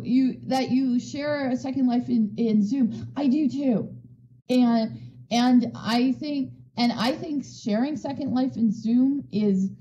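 A woman speaking on a conference panel in a casual, excitable way, restarting her sentences often and laughing. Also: fairly high pitch, highs cut off. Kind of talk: conversational panel talk. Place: virtual world session via voice chat.

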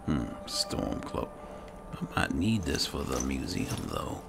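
Very deep gravelly low-key man. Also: very animated, takes it slowly. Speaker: gamer playing a roleplay series. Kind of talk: in-character gameplay commentary. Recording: game music behind the voice. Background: game music.

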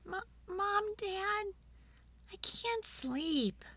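A woman's voice: extremely high pitch, animated, drawn-out words, pushed and bright, voice pushed up, exaggerated voice. A woman voicing a shy, self-doubting little boy spoon in a storybook, hesitant and whiny. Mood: restless.

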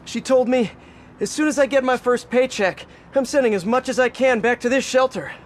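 An actor playing a young, upbeat, self-deprecating superhero who chats and quips in a video game. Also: male voice, very high voice, brisk, slight background noise. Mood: encouraging. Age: young adult. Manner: chatty, quippy.

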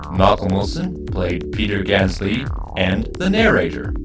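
Man reading scripted credits over music. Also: on the low side. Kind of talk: scripted narration.

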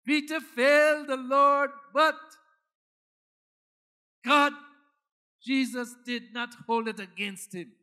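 A man's voice: extremely high pitch, steady tone, takes it slowly, pauses often, pushed and bright, clean tone. A man with a Guyanese accent preaching a sermon as a pastor, emphatic and dramatic, repeating phrases for effect.

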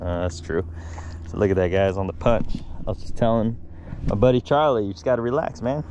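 A man's voice: fairly low pitch, brisk. A middle-aged man vlogging from a small fishing boat, chatting casually in slang and whooping when he hooks a fish. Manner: excited whoops and laughs, slangy. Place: small boat on a marshy river, outdoors. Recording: outdoor wind and water noise.

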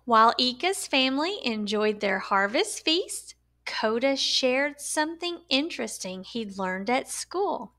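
A woman reads a children's story aloud in a high voice. Her intonation swings widely and expressively. She goes slowly and deliberately, giving words emphasis for young listeners. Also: big pitch swings, sharp tone. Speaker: storyteller reading a picture book aloud.

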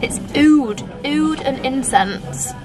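Chatty young woman, high voice. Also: lively intonation, slow pace, music in the background. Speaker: vlogger. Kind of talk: casual chat. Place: home-goods store, indoors. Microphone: handheld camera.

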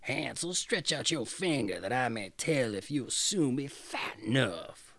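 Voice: medium pitch, expressive, measured pace, creaky, fairly bright tone, dry recording, heavily acted. A reader voicing a cruel, greedy old female witch in a read-aloud fairy tale, with a menacing villain's manner.

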